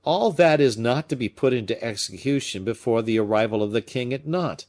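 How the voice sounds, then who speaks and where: Musketeer captain for a storybook, male narrator acting: polite, shrewd, measured delivery with animated, knowing emphasis. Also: mid-range voice, close to an everyday voice. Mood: earnest, hinting. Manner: measured, pointed, formally polite. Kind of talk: audiobook character acting.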